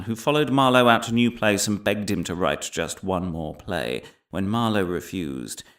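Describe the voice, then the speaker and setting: An actor playing a pompous, paranoid crackpot professor in a comic audio drama, lecturing theatrically with dramatic pauses, moving between hushed conspiracy and emphatic declaiming. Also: male voice, rumbling low, vocal fry, heavily acted. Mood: dramatic revelation. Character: pompous, paranoid crackpot male professor delivering absurd revelations.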